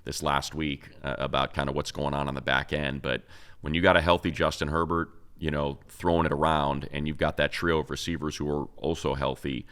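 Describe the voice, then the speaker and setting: Very deep, resonant American man talking sports. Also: flat delivery, brisk, fairly bright tone, smooth. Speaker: sports show host. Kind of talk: casual sports analysis talk.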